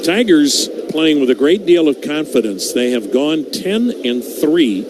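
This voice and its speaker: Male American baseball play-by-play announcer. Slow, measured delivery in clipped phrases, over clear stadium background noise. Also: a little high, big pitch swings. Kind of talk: live play-by-play commentary. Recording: noisy live ballpark ambience.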